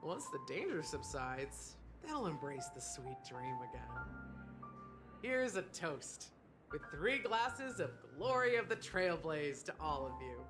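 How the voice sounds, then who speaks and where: An actress playing a wistful, hospitable bartender in a video game, with a theatrical, sentimental delivery. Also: female voice, low-pitched, big pitch swings, slow pace, full character voice. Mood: wry, knowing. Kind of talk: voiced video game dialogue. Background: background music.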